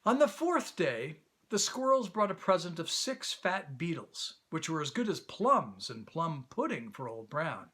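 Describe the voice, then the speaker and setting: A man reading a children's story aloud as an animated storybook narrator. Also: on the high side, a little slow, few pauses, slightly rough.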